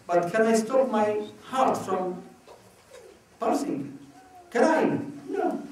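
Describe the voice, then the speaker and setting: A man from Iraq answering audience questions at a religious talk, speaking slowly and deliberately and often asking rhetorical questions. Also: high-pitched, darkish tone. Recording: echoey hall, mic far off.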